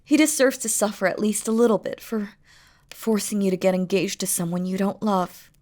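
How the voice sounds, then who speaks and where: A woman's voice: mid-range voice, fairly even intonation, brisk, a little mellow, close to an everyday voice. A woman playing a doting, playful demon queen in an intimate romantic audio roleplay, speaking tenderly and teasingly in formal, regal phrasing. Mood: amused, protective.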